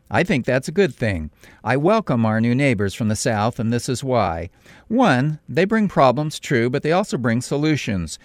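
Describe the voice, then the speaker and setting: Middle-aged male pastor, commentary read, animated, dry close mic. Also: no room sound. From California. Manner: deliberate, emphatic, with sudden pitch rises on key points. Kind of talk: scripted radio commentary.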